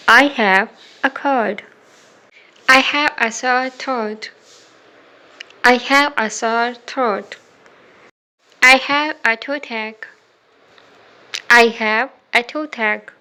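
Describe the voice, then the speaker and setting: A woman reading short scripted example sentences as a language drill, in a deliberate, instructional way with evenly spaced words. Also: fairly high pitch, sharp tone. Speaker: English vocabulary teacher.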